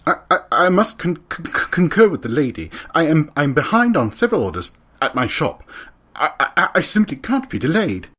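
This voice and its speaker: A man's voice: fairly high pitch, very animated, moderate pace, a bit bright, husky, full character voice. A man voicing a nervous, bookish pony clockmaker with a heavy stammer, in an audio drama. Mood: anxious. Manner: heavy stammer, repeats words. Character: nervous bookish clockmaker stallion, protesting suspect. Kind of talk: audio drama character acting.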